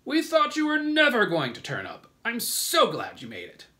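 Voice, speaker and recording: A big old green grasshopper from a children's tale, performed by a man. He speaks loudly in a bright, swooping voice. His manner is blunt and emphatic but friendly underneath. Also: extremely high pitch, wildly swooping pitch, moderate pace, voice pushed up, exaggerated voice. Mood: glad, welcoming.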